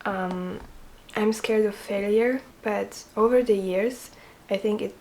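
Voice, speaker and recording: Soft-voiced young woman, earnest and hesitant, relaxed chatty vlog delivery at a middling pitch. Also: slow pace, a little hiss or hum, poor audio. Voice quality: soft quiet voice. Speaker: vlogger.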